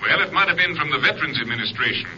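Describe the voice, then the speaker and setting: Brisk american ranger captain, very sharp forceful voice, official, muffled old radio recording. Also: male voice, on the high side, lively intonation, a little hiss or hum. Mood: official, informative. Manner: clipped, official reporting. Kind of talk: 1950s radio drama acting.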